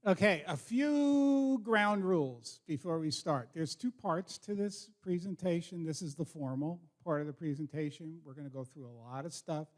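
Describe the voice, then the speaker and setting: A man with a somewhat high voice presents as a school counselor to students and parents. He is informal yet direct, setting out instructions and addressing the audience plainly. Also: fairly even intonation, normal speed, few pauses, darkish tone.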